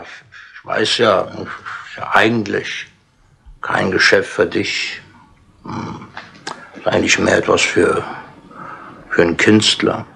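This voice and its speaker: An actor playing a wry, cajoling police inspector in a 1960s radio crime play, talking in a sardonic, persuasive way. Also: male voice, sits low, gravelly, pushed and bright, exaggerated voice.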